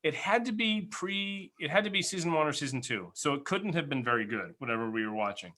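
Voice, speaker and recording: A man's voice: fairly high pitch, lively intonation, a little fast, bright, forceful tone. A man in his forties telling stories casually as a podcast host, animatedly acting out remembered dialogue. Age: 40s. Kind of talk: casual reminiscing storytelling.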